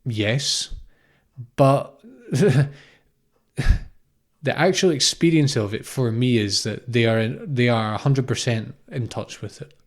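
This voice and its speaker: A man's voice: medium pitch, slow pace. Reflective man from Scotland, informal spiritual teacher. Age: 30s. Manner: reflective and explanatory, with repetition and rhetorical questions. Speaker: spiritual teacher, podcast host. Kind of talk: informal spiritual talk, unscripted monologue.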